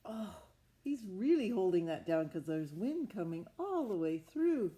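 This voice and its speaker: A woman's voice: medium pitch, sing-song, measured pace, warm tone, slight background noise. A woman improvising a story from a picture book, talking expressively and with wonder, as if to young children. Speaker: storybook narrator.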